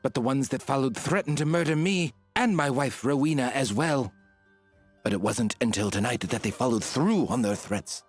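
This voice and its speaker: A man's voice: medium pitch, normal speed, breathy edge, faint music behind, natural voice. A man playing an anxious, devoted nobleman in a video game, speaking with earnest, melodramatic urgency. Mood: grave worry. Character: anxious, devoted nobleman fearing for his wife. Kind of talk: theatrical game cutscene acting.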